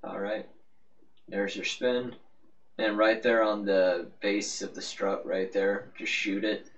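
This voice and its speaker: A man's voice: on the low side, level tone, slow, a bit bright. A man giving a casual, rambling, offhand game walkthrough as the host of a gaming video. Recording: muffled, noisy, distant-sounding microphone.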